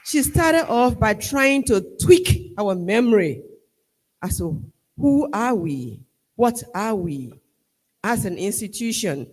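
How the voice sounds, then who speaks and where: A man with a Liberian accent delivers a formal vote of thanks in an emphatic, rallying manner. His voice sits high and he takes his time over each phrase. The sound rings with the long reverb of a large hall. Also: sing-song. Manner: measured, emphatic, rallying the audience. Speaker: ceremony speaker giving vote of thanks. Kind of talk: formal ceremonial speech. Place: large hall at a university ceremony.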